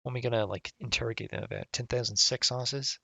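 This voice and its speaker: A man's voice: mid-range voice, steady tone, quick pace, vocal fry, noisy background. A man live-streaming a game, reading its text aloud and adding casual, sweary, offhand comments, with game music behind him. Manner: casual, profane, offhand asides.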